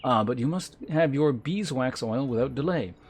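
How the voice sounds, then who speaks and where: A man's voice: mid-range voice, animated, moderate pace, natural voice. A man voicing a servile game shopkeeper who is eager to please, obsequious, flowery and overly polite. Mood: fawning, eager. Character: fawning, servile tool supplier eager to please.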